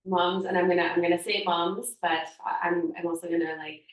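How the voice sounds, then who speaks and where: A woman in her thirties speaking in a reflective podcast conversation, soft-spoken and halting as she searches for her words. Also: fairly low pitch, level tone, recorded from a distance, highs cut off. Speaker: podcast guest. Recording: echoey room, off-mic.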